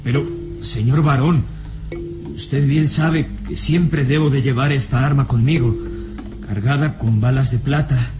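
An actor playing a tormented, jealous suitor in a radio serial, melodramatic and agitated, with halting repeated words. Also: male voice, medium pitch, steady tone, music in the background, lightly acted. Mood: defensive, nervous. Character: tormented, jealous, fearful drunken suitor nursing murderous rage.

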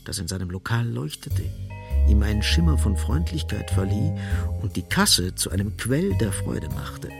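A man narrating a scripted literary radio drama as storyteller. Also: medium pitch.

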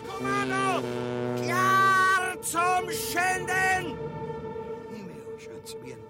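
A man's voice: extremely high pitch, little pitch movement, very slow, loud music behind the voice, exaggerated voice. An actor playing a pompous, cowardly, blustering grotesque king in a farcical radio play, declaiming and whining in a Viennese accent. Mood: frantic commanding.

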